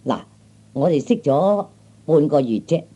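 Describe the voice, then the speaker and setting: Mellow, glib young Cantonese man. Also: on the high side, animated, measured pace, breathy edge, heavily acted. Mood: chatty, pleased. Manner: stammering, chuckling, obsequious. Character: glib, wheedling young man, broke bodyguard and fiancé. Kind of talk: 1960s Cantonese radio comedy acting.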